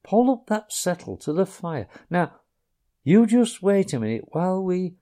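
A man's voice: sits high, measured pace, voice pushed up. A man voicing an eager, easily flustered male mole. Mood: eager, fretful. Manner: excited, anxious.

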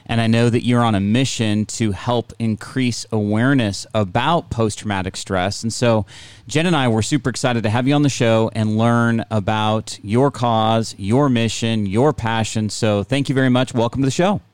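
A man's voice: mid-range voice, normal speed, clean tone, dry recording. A man hosting an interview show in a conversational style. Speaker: podcast host.

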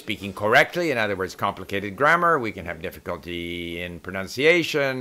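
Guy hosting an interview, bright crisp voice, curious and chatty. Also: low-pitched, big pitch swings, fast. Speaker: host. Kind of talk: conversational interview.

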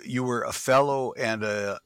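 A man's voice: medium pitch, unhurried, clear, resonant tone, dry recording. A man hosting an interview podcast, introducing his guest and asking questions attentively. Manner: attentive, frequent mm-hmms. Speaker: podcast host.

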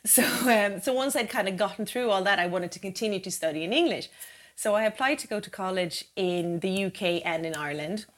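A woman's voice: medium pitch, lively intonation, fairly quick. A woman in her forties from Sweden, a native Swedish speaker fluent in English, chatting informally in an interview. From Stockholm, Sweden. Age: 40s. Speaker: interview guest. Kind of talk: casual personal storytelling. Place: home interior.

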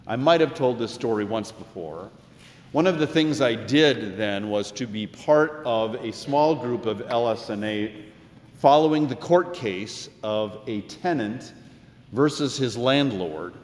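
Older American male pastor, conversational and reflective preaching, mid-range voice, reverberant church. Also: mid-range voice. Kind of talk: sermon with personal anecdotes. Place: large indoor church. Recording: echoey hall with long reverb.

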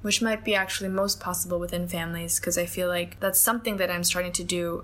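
A woman's voice: fairly low pitch, a bit bright, a little hiss or hum. A young woman from Hong Kong, an interview guest speaking in a reflective, conversational way with lots of hedging and filler words. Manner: thoughtful, many hedges and fillers like "like" and "I think".

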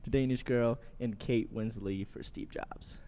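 A man's voice: mid-range voice, fairly even intonation, a little slow, mellow, slight background noise. Playful giggly man podcasting. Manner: playful, giggly, says "like" a lot. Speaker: podcast co-host. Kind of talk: casual podcast chat about movies.

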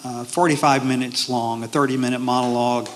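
A man who performs a one-man history show, speaking in an interview with halting phrasing and frequent fillers. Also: mid-range voice, steady tone. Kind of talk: casual interview talk.

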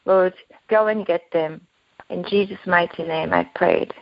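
A Korean-born woman with an accent mixing Korean, German and British, praying aloud and chatting as a guest minister with many halting restarts. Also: on the low side, fairly even intonation, vocal fry, no echo. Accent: Korean mixed with German and British. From South Korea. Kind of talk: spoken prayer and podcast chat. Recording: dull, dry podcast audio.

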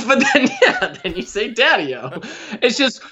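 A man co-hosting a podcast, talking in a playful, animated way as he teases the host. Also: high voice, slow, lo-fi sound, crowd noise. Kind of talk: casual podcast chat.

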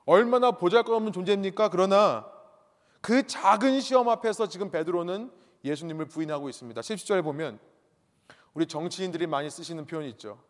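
A man's voice: sits high, lively intonation, fast talker, long reverb tail. A man preaching a sermon as a pastor, emphatic, repeating his key points and asking the congregation rhetorical questions.

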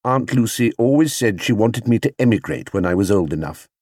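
A man voicing a small, young male bear who speaks clearly, politely and with proud earnestness, as in a children's storybook. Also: fairly low pitch, lively intonation, fairly quick, darkish tone, no echo, studio quality. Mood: earnest, matter-of-fact.

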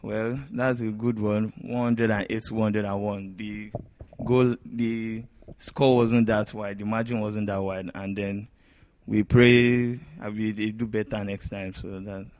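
Slow, halting man discussing sports. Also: lowish voice, flat delivery, darkish tone, no room sound. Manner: halting, pieces sentences together in short phrases. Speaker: podcast co-host giving match analysis.

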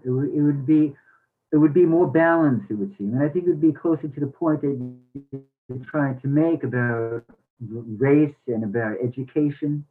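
A man offering measured, somewhat hesitant critical feedback in a discussion over a tinny connection. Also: mid-range voice. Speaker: workshop participant giving critique.

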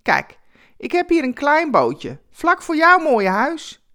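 A woman's voice: sits high, huge pitch swings, takes it slowly, sharp tone, pitched above the performer's own voice, heavily acted. A woman voicing a cheerful, chatty homeless man with folksy, familiar street talk in a told story. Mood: cheerful, inviting. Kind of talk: storytelling character voice.

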